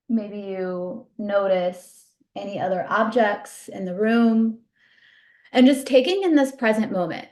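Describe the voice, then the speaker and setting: A woman leading a guided body scan meditation in a soothing, gentle, unhurried manner. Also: medium pitch.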